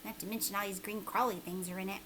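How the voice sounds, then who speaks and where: A woman teacher doing a children's read-aloud, expressive and conversational, often putting questions to her listeners. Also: medium pitch, fast talker, a little hiss or hum.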